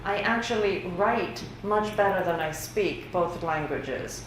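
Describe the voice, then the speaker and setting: A woman's voice: mid-range voice, slow. A woman whose first language is Greek, giving a workshop lecture on survey results in a casual, conversational way with many fillers. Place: university lecture room. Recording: very echoey room with faint noise.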